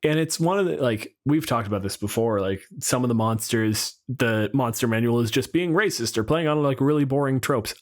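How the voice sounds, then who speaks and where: A man's voice: mid-range voice, normal speed, hardly any pauses. A man co-hosting a podcast, chatting casually and unscripted about tabletop games, with wry asides and loose swearing. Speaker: podcast co-host.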